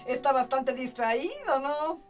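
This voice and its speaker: Young coquettish social climber, high animated voice, radio drama, music underneath. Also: female voice, slow. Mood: teasing. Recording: music behind the voice.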